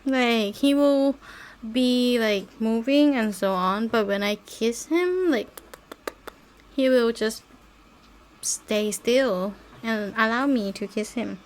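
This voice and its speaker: Slow, high-pitched woman chatting casually. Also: slight background noise. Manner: non-native English phrasing. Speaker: podcast host. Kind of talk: casual rambling chat, with baby talk to pets.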